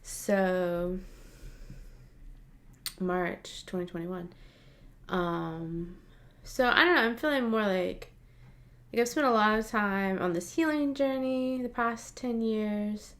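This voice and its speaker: A woman's voice: medium pitch, takes it slowly, pauses often. A woman in her late thirties talking casually to camera in a personal vlog, chatty and laughing often, with frequent fillers. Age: 30s. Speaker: vlogger.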